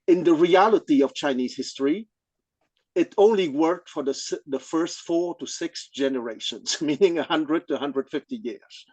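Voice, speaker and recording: A man who is a non-native English speaker, explaining things in an informal scholarly discussion, haltingly and often checking with "okay?". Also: a little high, a little mellow, dry recording, highs cut off. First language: not English. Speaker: study group participant.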